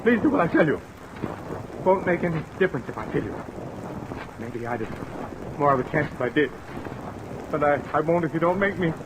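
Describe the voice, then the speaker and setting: Actor as high-pitched, desperate radio gunman. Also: animated, normal speed, pauses often, natural voice. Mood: pleading, tense. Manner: tense, insistent, commanding yet pleading. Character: desperate, frightened, threatening male gunman. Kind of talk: old-time radio drama acting. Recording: noisy vintage radio recording with rain. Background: rain and thunder.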